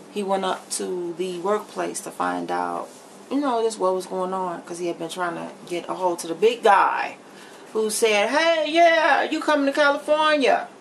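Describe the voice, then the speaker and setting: A woman's voice: medium pitch, gravelly, low-quality recording. A middle-aged woman chatting candidly and emphatically to camera as she vlogs from her home kitchen, in a blunt way. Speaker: vlogger. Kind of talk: candid chit-chat to camera while cooking. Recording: noticeable background noise in a kitchen.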